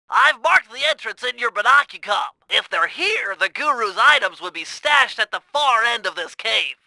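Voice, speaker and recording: Brainy little turtle gadget geek for a cartoon game, super high and thin, creaky edges, earnest and exact. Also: male voice, bright, forceful tone, dry recording, heavily acted, low-quality recording. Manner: precise, wordy, geeky, earnest. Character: nerdy, brainy young male cartoon turtle, strategist and gadget whiz. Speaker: mission guide in a video game. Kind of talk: animated video game voice acting.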